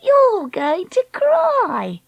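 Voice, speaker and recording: A woman's voice: very high voice, very animated, a little slow, no echo, dull audio, pitched above the performer's own voice, heavily acted. A woman voicing a bratty, tired young girl in a storybook, petulant and given to screaming and sneering. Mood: taunting.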